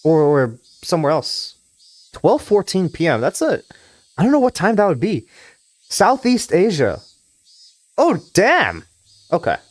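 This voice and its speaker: A young man from Trinidad and Tobago talks with his viewers in a rambling, start-stop way. His pitch swings a lot and sits a bit high. The sound is dry and close to the mic. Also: huge pitch swings, a little slow, long pauses, no room sound. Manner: rambling, frequent restarts and hedging fillers. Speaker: streamer. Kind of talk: casual live-stream chat with viewers, reading a visual novel aloud.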